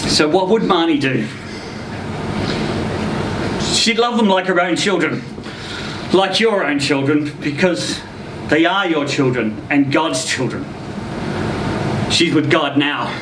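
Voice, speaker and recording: A man reading a short story aloud in a theatrical, expressive way at a live event. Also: fairly high pitch. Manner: theatrical, expressive delivery with acted quotes. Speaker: reader at a live literary event. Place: indoor social venue, live event. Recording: roomy, distant event recording with noisy hum.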